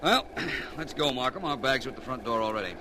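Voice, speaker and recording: Urbane holidaymaker: lively inflection, mid pitch, wry, clearly noisy old radio recording. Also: male voice, quick pace. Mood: breezy. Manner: breezy, wry. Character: urbane, lightly teasing man on holiday. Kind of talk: old-time radio drama acting.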